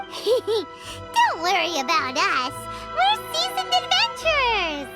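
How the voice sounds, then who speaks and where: A woman voicing a tiny, excitable female fairy companion in a video game, with a squeaky, animated voice. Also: slow, over loud music, heavily acted. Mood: excited.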